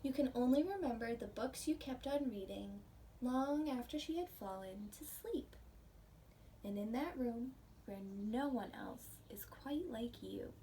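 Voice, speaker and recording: A woman preschool teacher reading a picture book aloud in a gentle, simple teacherly way, pausing to put questions to young listeners. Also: high voice. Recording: distant microphone, faint background noise.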